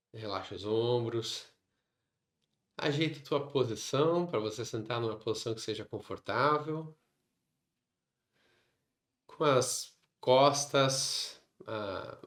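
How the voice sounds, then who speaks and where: A man with a Brazilian Portuguese accent leading a guided meditation, instructing in short phrases separated by long pauses. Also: medium pitch, fairly bright tone. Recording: roomy, echoing sound.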